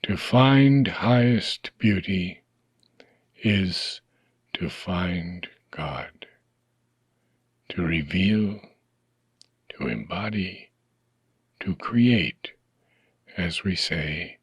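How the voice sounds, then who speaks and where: Very slow, measured male reader. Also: deep voice, expressive, slightly rough, dry recording. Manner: deliberate phrasing with long pauses, trailing off softly at phrase ends. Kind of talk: slow, measured reading of a philosophical text.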